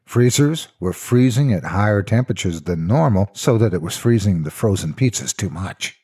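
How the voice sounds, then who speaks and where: A man's voice: lowish voice, expressive, moderate pace, dark tone, slightly rough. A man narrating a scripted story in a theatrical, mock-serious storytelling style. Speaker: narrator of horror stories.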